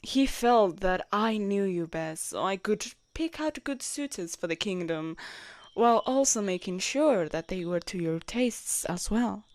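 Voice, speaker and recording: A woman playing a jealous, protective royal knight in an intimate audio roleplay, expressive and blunt in manner. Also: mid-range voice, measured pace, no echo, natural voice. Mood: evasive, careful. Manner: expressive, blunt, sweary, teasing. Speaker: voice actor in a romantic audio roleplay.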